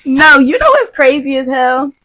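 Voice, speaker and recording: An American woman co-hosting a podcast, telling stories casually in slangy, profane, animated talk with quoted asides. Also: sits high, moderate pace. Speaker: podcast co-host. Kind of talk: casual chat, storytelling.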